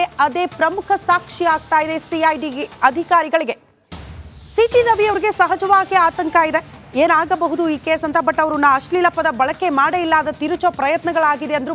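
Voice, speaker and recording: A woman anchors a television news bulletin in a very high voice. She leans on key phrases for dramatic emphasis. Faint music plays behind her. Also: a bit bright. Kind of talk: television news presenting, handing over to a reporter.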